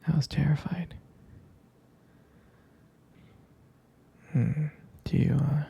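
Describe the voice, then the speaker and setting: A man playing a jealous, devoted boyfriend in an intimate ASMR roleplay, whispering tenderly and hesitantly in a husky, gravelly voice. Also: mid-range voice, muffled recording, heavily acted. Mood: tender, nostalgic. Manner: tender, hesitant, slow and hushed. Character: jealous, protective, devoted boyfriend soothing his partner.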